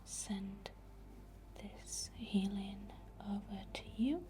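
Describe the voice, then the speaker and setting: A woman speaking in a soft, breathy whisper, gently guiding a soothing, unhurried ASMR healing ritual. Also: medium pitch, fairly even intonation. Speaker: ASMR host. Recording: faint background noise.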